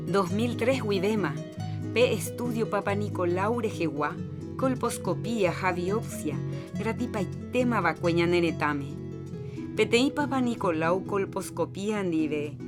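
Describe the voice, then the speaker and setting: Paraguayan woman announcer over loud music. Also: mid-range voice, animated, unhurried. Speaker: radio announcer. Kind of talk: scripted health program narration in Jopara. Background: loud harp music.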